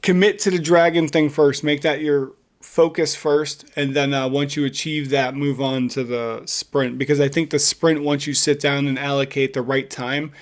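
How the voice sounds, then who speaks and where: A man with a voice a little higher than usual chats casually as a podcast co-host. He is informal and encouraging, like someone cheering on a friend. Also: flat delivery, moderate pace, hardly any pauses. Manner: encouraging, informal, says "dude" often. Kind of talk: casual chat.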